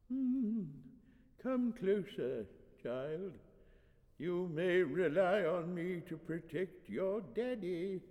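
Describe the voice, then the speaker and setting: An ancient high priest in a storybook, his voice pushed high and wheezy. He is doddering and lecherous, stammering and drawing out words that swoop about in a long reverb. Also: warm tone, exaggerated voice. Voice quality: wheezy, fragile, quavering. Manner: halting, stammering, drawn-out. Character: doddering, lecherous ninety-five-year-old high priest.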